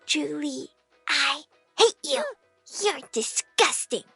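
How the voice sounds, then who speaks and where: A woman voicing a spiteful, scheming little girl in a slow, theatrical, taunting way for an animated story. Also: high voice, vocal fry, soft background music, full character voice. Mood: menacing.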